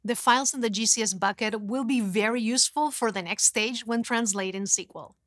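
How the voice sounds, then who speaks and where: A woman in her thirties presenting a scripted technical explainer in a studio, in a clear, even, instructive way. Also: on the high side, a little fast, no echo. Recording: dry studio sound.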